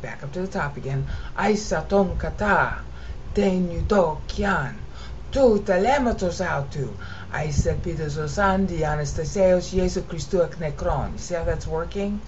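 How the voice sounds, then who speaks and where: American woman, low voice, casual Bible teacher, half explains, half chants; muffled noisy computer-mic recording. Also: measured pace. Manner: explains then chants text in rhythmic cadence. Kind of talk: screen-share lesson with chanted reading. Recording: dull lo-fi audio with steady noise.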